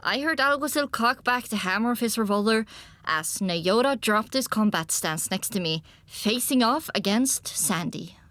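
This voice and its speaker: A woman narrating a scripted first-person story for an audiobook in an expressive storytelling manner. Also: fairly high pitch, normal speed, no room sound.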